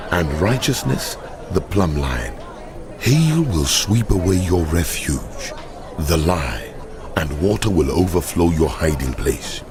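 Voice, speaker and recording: An actor playing God in a dramatized Bible reading, grave, deliberate and authoritative. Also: male voice, low voice, background noise. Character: God, solemn commanding male deity pronouncing judgment.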